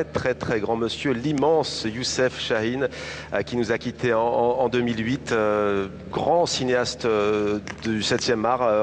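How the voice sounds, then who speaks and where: A man hosting a live television show outdoors, chatty and lively, introducing guests and segments. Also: mid-range voice, a little hiss or hum. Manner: lively, chatty, lingers on words. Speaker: host. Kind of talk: live television interview and presenting.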